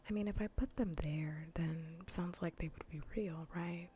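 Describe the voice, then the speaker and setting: A woman streamer chatting softly in ASMR style close to the microphone, often in a breathy whisper, with a dry, unhurried, matter-of-fact way of talking. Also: on the low side, a little hiss or hum. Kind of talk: soft-spoken ASMR gaming livestream chat.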